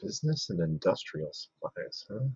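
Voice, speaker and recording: A hoarse man with a low, husky voice talks loosely to his stream audience and mutters to himself as he works. The sound is dry and slightly dull, like a basic streaming mic. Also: sing-song, moderate pace, a little mellow, dry recording. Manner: chatty, mutters to himself while working. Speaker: livestream host. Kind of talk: casual live-stream chat while working.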